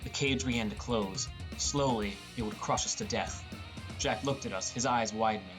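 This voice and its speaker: A man's voice: lowish voice, normal speed, music in the background. A man reading a scripted first-person story as an audiobook narrator.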